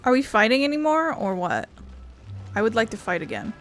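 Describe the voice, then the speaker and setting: A woman's voice: on the high side, big pitch swings, a little fast, soft background music. A woman giving casual let's-play commentary in a rambling, playful, self-deprecating way. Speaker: gameplay streamer.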